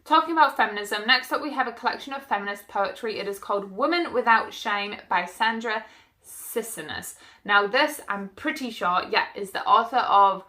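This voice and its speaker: A woman's voice: mid-range voice, lively intonation, moderate pace, bright, forceful tone. A young woman chatting casually about books she wants to read, a little stuffy-nosed from hay fever, rambling with fillers and asides. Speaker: book vlogger.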